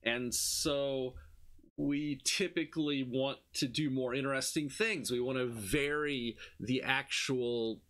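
A man's voice: mid-range voice, steady tone, slow pace, fairly bright tone. A man lecturing informally on slides, explaining conversationally and posing questions that he then answers. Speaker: university music lecturer. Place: indoor room, screen-recorded lecture with webcam.

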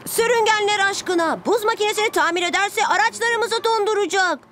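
A woman's voice: extremely high pitch, brisk, a bit bright, a little hiss or hum. A woman voicing a young, sensible superhero boy in an earnest manner for a children's cartoon.

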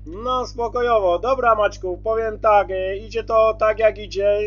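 High-voiced guy chatting on cb radio, keeps repeating and checking, dull lo-fi sound. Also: steady tone, measured pace, fairly bright tone. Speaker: CB radio operator. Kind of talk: casual radio contact talk.

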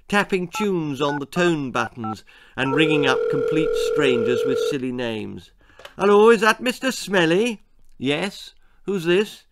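A man in his sixties reading a children's story aloud as its author, in expressive narration. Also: mid-range voice, noisy background. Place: book-lined room indoors.

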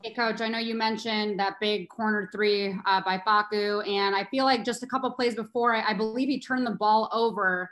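A woman's voice: mid-range voice, fairly even intonation, normal speed, few pauses, a bit bright, poor audio. A woman asking the coach a question as a reporter, in a friendly, conversational way. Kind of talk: press conference question.

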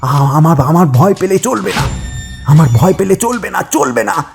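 Male performer as panicked hotel guest, horror audio drama, raised pitch, stammering, repeated words. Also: expressive, moderate pace, creaky, faint music behind. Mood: frightened. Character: terrified male hotel guest facing a ghost. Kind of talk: horror audio story acting.

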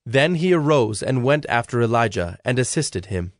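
A man narrating an audio Bible as a scripted, measured storyteller. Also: mid-range voice, animated, dry recording, clean studio sound. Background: music.

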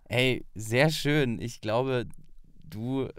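Guy hosting a podcast, casual interview chat, medium pitch, dry sound no room. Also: extremely slow, fairly bright tone, smooth, no echo. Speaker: podcast host.

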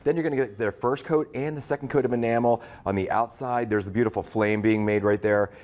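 A man's voice: medium pitch, steady tone, brisk, darkish tone. A middle-aged American man giving a live product demonstration in a studio kitchen as he cooks.

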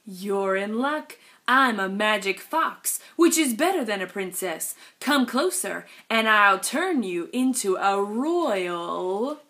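Woman putting on a sly low-voiced fox. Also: expressive, takes it slowly, hardly any pauses, fairly bright tone. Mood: sly, coaxing. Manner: coaxing, smooth-talking. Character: sly, scheming fox offering false help.

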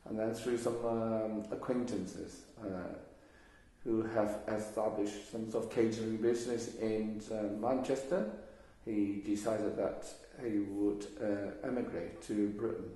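An older man speaks in a fairly low, mellow voice. He answers haltingly, pausing on fillers and restarting his sentences. The recording is very echoey, as if made in a bare room. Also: steady tone. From Hong Kong. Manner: hesitant, many fillers and restarts. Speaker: interviewee. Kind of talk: oral history interview.

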